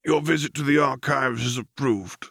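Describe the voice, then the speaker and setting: A man voicing a formal, unapologetic counselor of a secretive order, soft-spoken and officious, in a story reading. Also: medium pitch, normal speed, gravelly, no room sound, clean studio sound, pitched above the performer's own voice. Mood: cool, official. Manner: stiff, officious.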